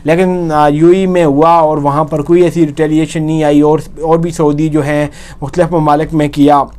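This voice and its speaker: A man's voice: on the high side, flat delivery, normal speed, darkish tone. A man with a Pakistani accent presenting news with explanatory commentary, often rephrasing and repeating his points.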